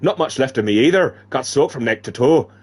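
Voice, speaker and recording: A man's voice: medium pitch, animated, brisk, close to an everyday voice. A man voicing a playful, weary young woman courier in a storybook read-aloud, with an attempted French accent drifting toward Irish. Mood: weary, rueful. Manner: musical, lilting.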